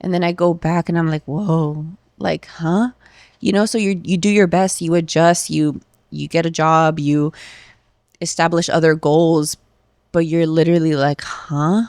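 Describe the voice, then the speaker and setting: Thirties woman guest, gravelly and lowish, candid chatty podcast talk, dry close mic. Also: steady tone, unhurried, a little mellow, no room sound. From Los Angeles. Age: 30s. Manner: candid, emotional, uses many fillers like "um" and "like". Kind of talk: casual conversational interview.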